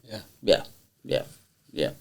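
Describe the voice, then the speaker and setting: A man, a former pantomime performer, speaking bluntly and indignantly about how the show ended. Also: takes it slowly. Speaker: former panto cast member. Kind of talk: interview.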